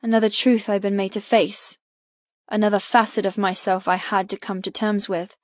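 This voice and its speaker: A woman's voice: mid-range voice, little pitch movement, normal speed, dry recording. Woman narrating fiction, dry muffled sound. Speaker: audiobook narrator. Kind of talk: scripted first-person fiction narration.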